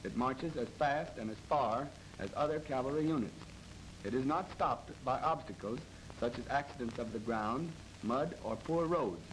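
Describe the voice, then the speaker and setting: A noisy old film soundtrack from the 1930s carries an American man reading scripted military instruction. His voice sits at a medium pitch, and his delivery is formal, measured and matter-of-fact, with some noise around the words. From United States. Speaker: military training film narrator.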